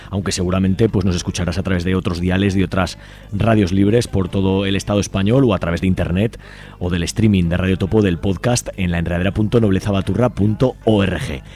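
A man's voice: deep voice, a little mellow. A man with an accent from Spain hosting a live community radio interview. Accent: Spanish from Spain. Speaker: radio show host. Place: radio studio.

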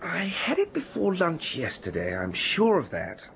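A foolish upper-class Englishman in a radio comedy-mystery. He dithers and rambles in a high voice with very animated pitch. The recording is noticeably noisy. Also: a little slow, gravelly, exaggerated voice. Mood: vague, sheepish. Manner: flustered, rambling, apologetic. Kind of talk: radio drama acting.

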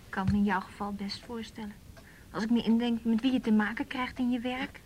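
A woman's voice: a little high, fairly even intonation, a little fast, darkish tone, a little hiss or hum, natural voice. An actress playing a cheeky, defiant rich teenage girl in a naturalistic radio drama, with a pert, scornful manner. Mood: challenging.

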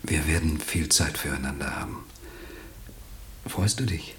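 A man's voice: very deep voice, measured pace, fairly bright tone, slight background noise. An actor in a German science-fiction radio play, playing an anxious, protective man in love. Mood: hushed, tender.

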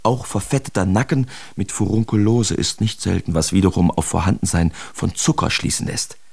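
A man's voice: deep voice, normal speed, vocal fry, a little mellow, breathy edge, heavily acted. An actor playing a bitter, sardonic young German artist reading his letters in a radio drama, theatrical and sharply emphatic. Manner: theatrical, sarcastic, emphatic enunciation. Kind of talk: literary radio drama monologue, letters read aloud.

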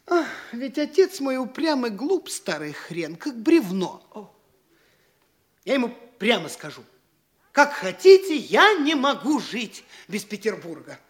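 A vain, flighty young dandy, very animated. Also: male voice, very high voice, measured pace, exaggerated voice. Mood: boastful. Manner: affected, pompous, self-important. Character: vain, flighty young gentleman braggart from the capital. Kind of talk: classic Russian stage comedy radio acting.

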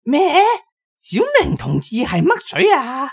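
A man voicing a deaf, muddled old village party secretary in Cantonese, zealous, bellowing slowly in a raspy voice. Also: medium pitch, wildly swooping pitch, pitched above the performer's own voice, heavily acted. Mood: confused. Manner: slow, loud bellowing of someone hard of hearing.

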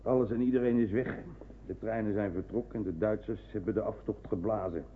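A laconic railway worker acted by a man in an old radio play. His voice is low and rough, and the recording is thin and tinny. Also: steady tone, a little fast, lightly acted. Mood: offhand. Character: laconic, unbothered railway man in uniform cap. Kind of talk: Dutch radio drama acting.

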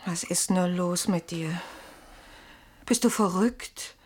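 A woman's voice: fairly low pitch, takes it slowly, darkish tone, a little hiss or hum. An actress playing a worried, sceptical woman in a radio play, questioning and reasoning, often close to a whisper. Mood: puzzled, worried. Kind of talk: German radio play acting.

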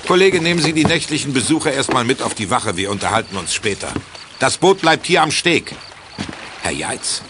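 An actor playing a commanding but kindly police officer in a children's radio play. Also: male voice, on the high side, noisy background. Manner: authoritative, official.

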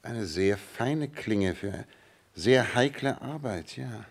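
Shrewd gentleman detective, German radio drama. Low voice, courteous, thoughtful, quietly probing. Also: lively intonation, takes it slowly, heavily acted. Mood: intrigued. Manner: pensive, probing, polite, murmured acknowledgements. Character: shrewd, courteous, pensive private detective man. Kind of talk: German radio play dialogue.

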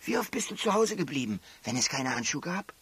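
A labour camp prisoner with a hoarse voice and a wry, explaining manner. His intonation moves a lot and the sound is close and dry, as in an intimate radio play. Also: a little high, very animated, fairly quick, fairly bright tone, no echo, exaggerated voice. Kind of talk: German radio play acting.

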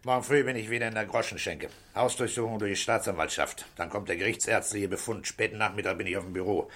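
A man's voice: on the low side, fast talker, a bit bright. A man acting a stern, terse middle-aged police commissioner in a 1960s German radio play, giving orders and asking curt questions. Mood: brisk, commanding.

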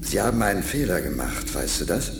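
A man's voice: low-pitched, big pitch swings, a little fast, vocal fry, full character voice. A man playing an informal, eager astronaut sidekick in a 1980s German radio play. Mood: eager, chummy. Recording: roomy, noisy radio play sound.

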